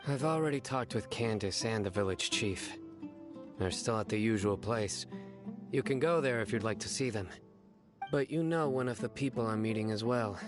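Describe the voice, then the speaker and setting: A man plays a reserved, stoic guardian from a video game. His voice sits fairly low, with a measured, matter-of-fact delivery, and clear music runs behind it. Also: lightly acted. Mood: calm, reserved. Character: stoic, reserved young male desert guardian, terse and calm. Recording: guitar music under the voice.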